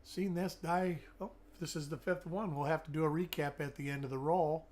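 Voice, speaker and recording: A man's voice: a little high, steady tone, moderate pace, darkish tone. Man with a weak, fading voice. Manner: chatty, greets viewers by name while examining coins. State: weak, fading voice after illness. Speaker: host. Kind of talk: live-stream coin hunting chat.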